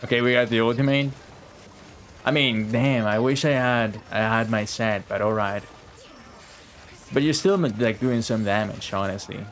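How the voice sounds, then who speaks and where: A man's voice: medium pitch, a little slow, pauses often, fairly bright tone. A man giving casual live-stream game commentary in slangy, chatty language with plenty of swearing. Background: game music.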